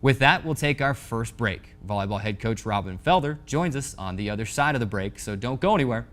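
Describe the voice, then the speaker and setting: A man's voice: mid-range voice, animated, brisk, a bit bright. A man in his twenties hosting a sports news show, reading scores in a scripted presenting style from a TV studio on a lapel mic. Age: 20s.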